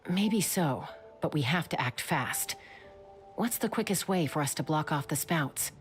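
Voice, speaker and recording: A low, composed voice for a determined young woman investigator in a fantasy game. She talks directly and coolly, with music clearly behind her. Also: expressive, unhurried. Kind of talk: video game voice acting.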